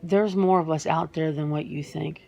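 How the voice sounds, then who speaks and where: A woman playing a reluctant, sentimental female werewolf in a soft-spoken ASMR interview roleplay, speaking haltingly and repeating words. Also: deep voice, steady tone, vocal fry, muffled recording. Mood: earnest.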